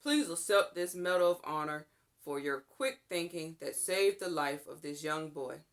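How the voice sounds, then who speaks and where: A formal city mayor from a storybook, read by a woman, proclaiming honors in a ceremonial, grateful way. The voice sits fairly low for a woman. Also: expressive, measured pace. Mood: proud, formal.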